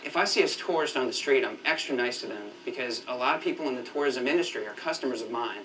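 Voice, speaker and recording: Male testimonial, bright forceful tone, brisk pace, slightly distant noisy VHS recording. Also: medium pitch. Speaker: business owner giving testimonial. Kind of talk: short on-camera testimonial. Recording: slightly distant, faint noise, VHS dub.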